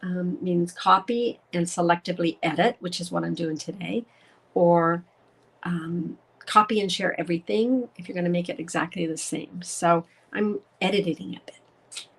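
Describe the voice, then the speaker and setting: A woman's voice: fairly low pitch, normal speed, creaky. Chatty woman host with a head cold. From Canada. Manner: chatty, greets viewers by name, upbeat. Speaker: crafting demonstrator hosting a live stream. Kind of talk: casual live-stream craft demo.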